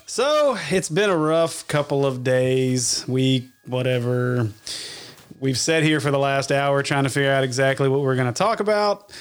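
A man's voice: mid-range voice, lively intonation, moderate pace, clean tone. A man hosting a casual podcast from a small home studio, laughing often and swearing freely. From Arkansas, USA. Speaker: podcast host.

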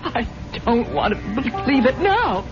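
A muffled old radio drama with music behind it features a wealthy American wife. Her voice is fairly high, earnest and theatrical. She comes across as a woman with a will of her own. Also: sing-song, measured pace. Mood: hurt. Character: earnest, wounded, strong-willed wealthy wife. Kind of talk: 1970s radio drama acting. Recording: dull vintage radio recording with music.

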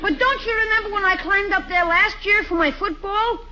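Very high-voiced, whiny schoolboy. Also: male voice, normal speed, bright, forceful tone, a faint crowd, full character voice. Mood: pleading. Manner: whining, wheedling. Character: whiny, cheeky young schoolboy nephew. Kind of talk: 1940s radio comedy acting. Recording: muffled, noisy 1940s radio recording.

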